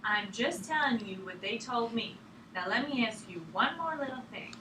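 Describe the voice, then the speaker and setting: A woman's voice: on the high side, animated, normal speed, bright, forceful tone. A woman acting a patient, deferential official who keeps questioning a sick patient in a drama table read. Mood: polite, insistent. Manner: polite, deferential, insistent. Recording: echoey room, distant mic, noisy background.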